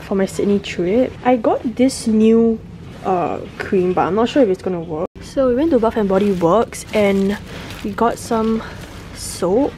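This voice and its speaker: A woman's voice: mid-range voice, measured pace, warm tone, low-quality recording. A young woman chatting casually to viewers as a travel vlogger, filming herself on a handheld camera. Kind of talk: casual vlog chat to viewers. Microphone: handheld camera at arm's length. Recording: clear background noise.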